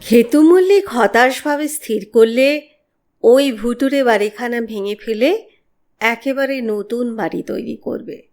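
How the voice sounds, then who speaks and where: A woman narrating a horror story in a measured, expressive, dramatic way. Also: a little high. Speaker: audiobook narrator of horror stories.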